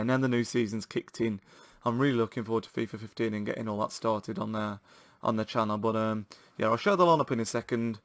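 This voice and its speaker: A man with a sore throat, giving excitable, chatty commentary to viewers while playing a football video game. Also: lowish voice, no room sound. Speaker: gaming channel host.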